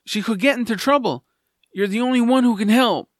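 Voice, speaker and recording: A man voicing an eager, earnest young boy hero with an excitable manner in a family fantasy audio drama. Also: very high-pitched, brisk, dry recording, clean studio sound, voice pushed up. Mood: exasperated, pleading.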